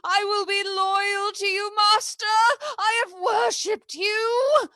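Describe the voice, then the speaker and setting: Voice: extremely high pitch, fairly even intonation, slow, bright, forceful tone, no room sound, exaggerated voice. An actor in a radio play voicing a crazed asylum patient, pleading in frantic worship of a master.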